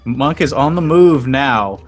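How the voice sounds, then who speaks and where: A man calling live play-by-play for an online shooter match, his voice climbing high as the action heats up. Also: expressive, music in the background. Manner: rapid play-by-play, pitch jumps up with action. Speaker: esports play-by-play commentator. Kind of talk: live game commentary.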